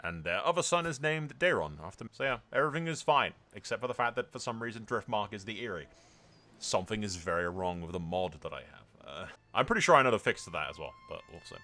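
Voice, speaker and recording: Guy doing casual gaming commentary, really animated and sweary, swings up and down, music in the back. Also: on the low side, quick pace. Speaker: gaming video host. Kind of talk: casual game commentary with roleplay.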